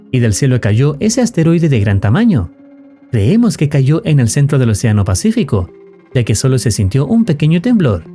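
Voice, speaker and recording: A man giving scripted narration of a horror story recap in a formal, measured storytelling manner. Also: medium pitch, mellow. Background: music.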